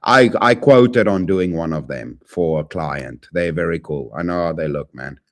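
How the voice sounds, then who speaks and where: Rambling streamer man, lively pitch. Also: normal speed. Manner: rambling, talks to chat, swings from loud to mumbled. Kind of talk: casual live-stream chat.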